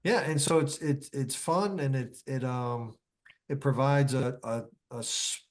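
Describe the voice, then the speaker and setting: A man chats as a guest author in a relaxed panel setting. His pitch is average, and he speaks haltingly, circling back over words in loose, unfinished phrases. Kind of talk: casual panel conversation.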